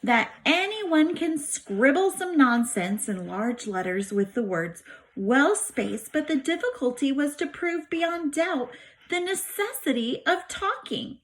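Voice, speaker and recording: A woman reading a diary aloud to students as a teacher, with expressive, emphatic storytelling phrasing. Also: high-pitched, takes it slowly, rough recording. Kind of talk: animated read-aloud of a diary book.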